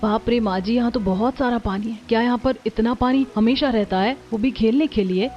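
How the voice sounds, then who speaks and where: A woman voicing an excitable, wide-eyed young new bride in a cartoon. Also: a little high, brisk, close to an everyday voice. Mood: wistful, delighted. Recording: dull lo-fi track with music.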